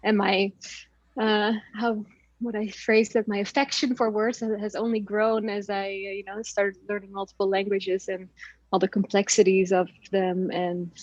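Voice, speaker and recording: A woman's voice: medium pitch, little pitch movement, normal speed, a little mellow, dry recording, muffled recording. A woman whose first language is Dutch, talking in a casual interview with many fillers and frequent laughs. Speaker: podcast guest. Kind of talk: casual conversation.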